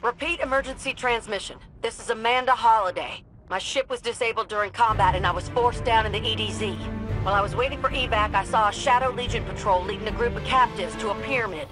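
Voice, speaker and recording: Plucky Southern pilot on comms. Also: female voice, on the high side, fast, over music, rough recording. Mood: urgent, steady. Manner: folksy, plucky. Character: spirited, wry female pilot radioing from captivity. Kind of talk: video game voice acting.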